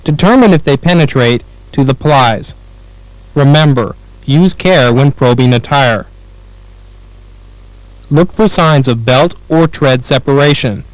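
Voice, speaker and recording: American guy doing training film narration, mid pitched voice, measured and instructional, dry close sound. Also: dry recording, low-quality recording. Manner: clear, measured, instructional delivery.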